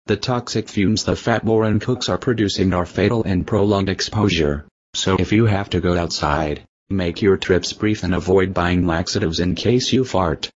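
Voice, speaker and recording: A man's voice: deep voice, moderate pace. A man reading a scripted mock civil danger warning as an alert announcer. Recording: dull lo-fi audio, faint noise.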